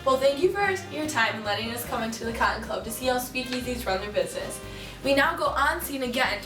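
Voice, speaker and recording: Woman as high-voiced 1920s field reporter. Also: fast talker, lightly acted. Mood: inquisitive. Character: bright-mannered female 1920s field news reporter. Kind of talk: scripted amateur mock newscast interview. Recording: roomy distant mic, music behind.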